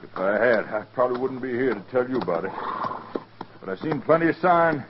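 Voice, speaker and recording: An actor playing a wary, plain-spoken cowboy drifter with folksy rural grammar in a 1950s radio western. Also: male voice, medium pitch, animated, a little fast, close to an everyday voice. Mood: grim. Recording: muffled, noisy old radio recording.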